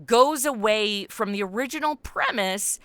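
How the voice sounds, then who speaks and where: A woman speaking conversationally as an interview guest, explaining her creative work in an animated, expansive way. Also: a little high, unhurried, clean tone, no room sound.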